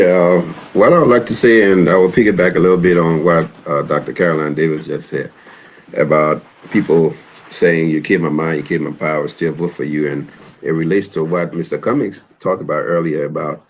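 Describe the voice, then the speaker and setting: A man from Liberia, speaking as a panelist and commenting in a discussion with many halting restarts. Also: extremely low pitch, slightly rough.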